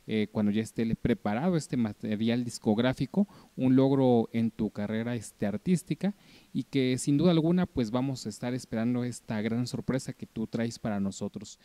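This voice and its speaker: A man's voice: medium pitch, normal speed, dark tone, no echo. A young man hosting an interview, asking questions in a wordy way with many fillers, on a handheld mic indoors. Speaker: interviewer host. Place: small indoor room.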